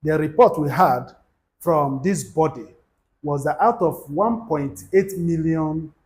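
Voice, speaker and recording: A man, giving a measured, formal address to viewers. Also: on the high side, darkish tone. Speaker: education channel host.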